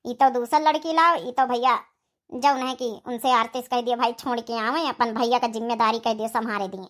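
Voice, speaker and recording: A woman's voice: on the high side, steady tone, normal speed, fairly bright tone, lightly acted. A woman with an Awadhi accent voicing an ageing, impatient village mother who nags plainly in a cartoon story. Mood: nagging. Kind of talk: amateur cartoon story dialogue.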